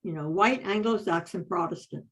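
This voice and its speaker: A woman with a slightly low voice chimes in during a class discussion. She adds short, chatty asides and agrees with the others. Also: moderate pace, a bit bright. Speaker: adult class participant.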